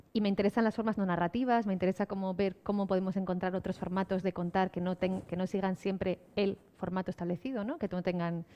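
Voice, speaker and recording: A woman speaking reflectively and unscripted on a panel as a podcast creator, often adding little tag questions to seek agreement. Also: mid-range voice. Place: public round-table event. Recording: slightly dull, lo-fi event recording.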